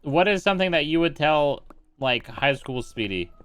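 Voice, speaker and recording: A man's voice: fairly high pitch, expressive, normal speed, a bit bright, clear, resonant tone, no echo. A young man co-hosting a game stream, teasing and asking his friend questions. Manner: laughing, teasing interviewer. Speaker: co-host. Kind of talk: casual chat on a game stream.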